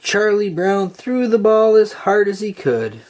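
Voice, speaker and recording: Slow, animated storybook narrator. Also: mid-range voice. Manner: deliberate, expressive storytelling. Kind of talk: children's storybook read-aloud.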